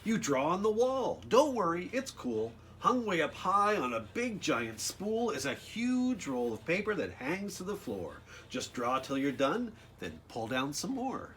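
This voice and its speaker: A man reads a storybook aloud for children with very lively, swooping intonation. He often drops into casual asides and speaks directly to his young listeners. He is outdoors, with light background noise behind him. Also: high voice, a little slow, few pauses. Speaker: children's author reading own picture book aloud.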